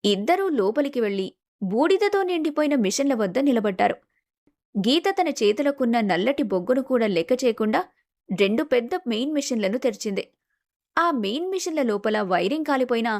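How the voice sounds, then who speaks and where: A woman's voice: a little high, darkish tone. A woman storyteller reading scripted narration for a moral story.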